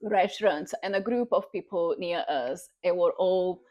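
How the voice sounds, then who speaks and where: A woman's voice: fairly low pitch, normal speed, darkish tone, highs cut off. A woman from Brazil, speaking English with a Brazilian Portuguese accent and a lot of hesitant fillers in a casual chat. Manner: hesitant, with many fillers and restarts. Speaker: guest.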